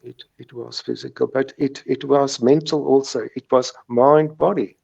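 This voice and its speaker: A man's voice: medium pitch, fairly even intonation, moderate pace, gravelly, a little mellow, slightly rough, muffled recording. A middle-aged man with a South African Afrikaans accent, a native Afrikaans speaker, giving a personal account in an interview, stuttering and repeating words and sounds. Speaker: guest recounting recovery from stuttering.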